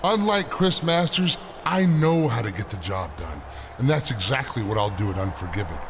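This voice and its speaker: A man's voice: mid-range voice, very animated, moderate pace. A man playing a cocky, dismissive big pro wrestler in a video game cutscene, with a smug, swaggering manner. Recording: noisy game cutscene audio.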